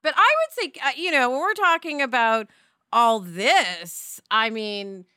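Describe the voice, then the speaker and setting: High, bright, animated woman hosting. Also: measured pace, smooth, no room sound, studio quality. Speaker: podcast host. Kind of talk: casual podcast chat.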